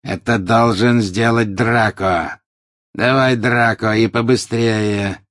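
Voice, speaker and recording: A man voicing a harsh, brutal male dark wizard who barks orders. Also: lowish voice, fairly even intonation, slow pace, no echo, clean studio sound, pitched above the performer's own voice. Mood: angry. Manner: barking, commanding.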